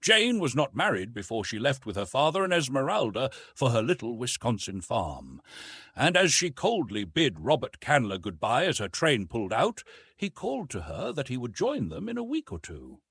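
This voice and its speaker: A man's voice: mid-range voice, huge pitch swings, normal speed, fairly bright tone, slightly rough, no echo. A man reading a literary adventure romance as a scripted audiobook narration.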